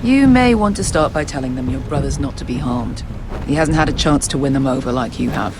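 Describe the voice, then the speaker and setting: A woman's voice: rumbling low, expressive, brisk. A woman playing a tough, sceptical sellsword in a fantasy game, blunt and dry in delivery. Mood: probing, blunt. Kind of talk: dramatic video game acting. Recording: background noise of sea and boat.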